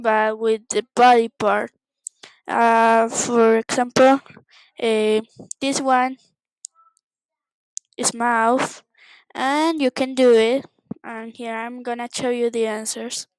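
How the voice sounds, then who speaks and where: Woman, high voice, very slow delivery, English teacher, informal lesson narration, simple repeated phrasing. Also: clean tone, no echo, rough recording. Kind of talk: informal screen-share language lesson narration.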